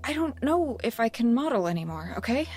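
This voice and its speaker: Teenage girl in audio drama, kinda sullen n hesitant, high lively voice. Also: brisk, husky, soft background music. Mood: reluctant, upset. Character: teenage former model, sullen, hesitant, defying her mother.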